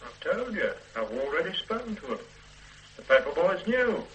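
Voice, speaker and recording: A man's voice: high voice, big pitch swings, moderate pace, fairly bright tone. An actor playing a patient husband who placates his demanding wife in a radio drama. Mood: placating. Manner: mild, evasive and placating. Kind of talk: 1970s radio drama acting. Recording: distant old radio recording with hiss.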